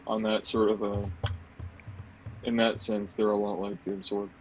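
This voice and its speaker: A man's voice: lowish voice, flat delivery, measured pace, rough recording. A man with a dry throat co-hosting a casual music podcast, rambling loosely and restarting his sentences as he goes. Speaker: podcast co-host.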